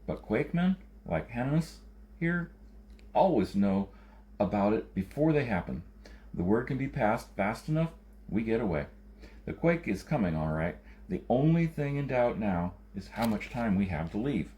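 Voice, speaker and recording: A man plays a matter-of-fact leader of rural folk in a science fiction audiobook. The voice is lowish and mellow, and the delivery is firm and plain. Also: sing-song, measured pace, highs cut off. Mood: grave certainty.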